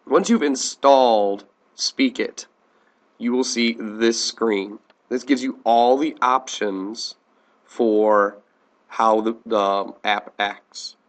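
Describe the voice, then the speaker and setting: An American man teaching a software tutorial in a plain, step-by-step instructional manner. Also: mid-range voice. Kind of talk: instructional screencast narration.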